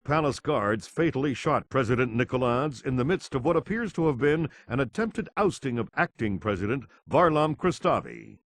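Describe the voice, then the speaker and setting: A man playing a television news reporter in a video game, speaking in a formal, measured broadcast style. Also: medium pitch, animated, dry recording, natural voice. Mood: formal, serious. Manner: formal, measured newsreader delivery.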